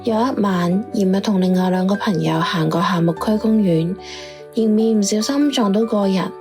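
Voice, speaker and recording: A world-weary female informant voiced by a woman speaks at an ordinary pitch in a radio drama. She lays out findings plainly and with resignation, while music plays clearly behind her. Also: moderate pace, natural voice. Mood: resigned. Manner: matter-of-fact, resigned.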